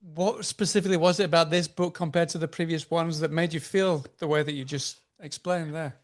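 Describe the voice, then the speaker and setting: A man hosting an interview over a video call, eager and friendly, asking questions and giving quick thanks and agreeing replies. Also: a little high, steady tone. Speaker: interview host.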